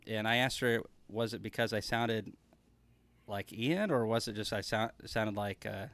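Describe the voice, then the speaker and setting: A man's voice: fairly low pitch, moderate pace, a bit bright. A man chatting casually as a guest, folksy and given to joking. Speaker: conference speaker, livestream guest. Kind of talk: casual chat.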